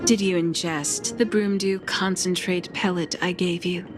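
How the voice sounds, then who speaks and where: An actress playing a calm, proud woman leader of a secret sect in a video game, with formal, measured speech over music. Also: on the low side, natural voice. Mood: solemn, earnest. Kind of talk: dramatic video game voice acting. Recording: loud background music under voice.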